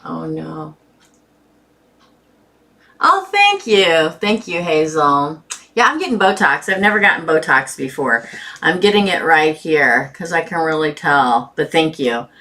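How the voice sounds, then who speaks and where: A woman's voice: low voice, big pitch swings, measured pace, bright, forceful tone. A woman hosting a tarot live stream with a sore throat, chatting casually and greeting viewers by name.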